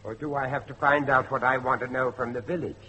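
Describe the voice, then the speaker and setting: A middle-aged man acting a determined father and judge in old-fashioned radio drama style, with a dramatic, deliberate delivery. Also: medium pitch, fairly even intonation, sharp tone, dull audio, slight background noise, close to an everyday voice. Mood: firm, probing. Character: grave, determined father, a judge seeking his missing son.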